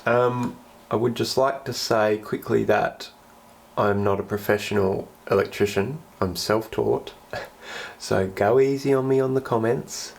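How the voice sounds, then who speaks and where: A man's voice: lowish voice, fairly even intonation, a little slow, creaky. A man with the flu, sounding sniffly, thinking aloud as he does his own wiring inside a small boat cabin. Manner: thinks aloud hesitantly while working with his hands. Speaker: boat owner doing DIY wiring. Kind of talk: casual vlog narration while working. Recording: noisy background.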